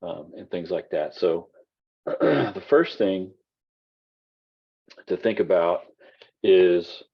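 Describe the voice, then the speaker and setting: A very low-voiced man with a husky, worn voice talks through a class lecture, loose and rambling with plenty of ums and restarts. The sound is dull and lo-fi, like a computer mic on a video call. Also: lively intonation, drawn-out words. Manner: casual, rambling, many fillers and restarts. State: losing his voice. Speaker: college instructor.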